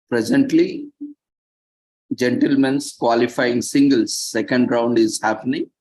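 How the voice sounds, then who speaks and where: A man presenting, reading prepared text with off-the-cuff asides, halting and stumbling over words at times. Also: mid-range voice, darkish tone, poor audio.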